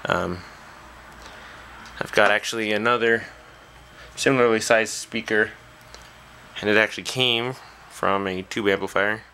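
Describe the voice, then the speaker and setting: A man's voice: lowish voice, steady tone, measured pace, pauses often, sharp tone, clear, resonant tone. A man talking casually and off the cuff as a hobbyist presenter, hesitantly thinking aloud at an indoor workbench on a camera's built-in mic. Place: small indoor workshop at a bench.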